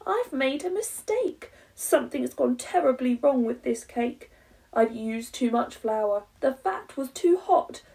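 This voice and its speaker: A man's voice: extremely high pitch, lively intonation, a little slow, vocal fry, a little mellow, recorded from a distance, voice pushed up, heavily acted. A woman voicing a greedy, grotesque man in a children's storybook, exclaiming dramatically. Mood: panicked. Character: greedy, grotesque man, panicked by his monstrous cake. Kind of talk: children's storybook read-aloud.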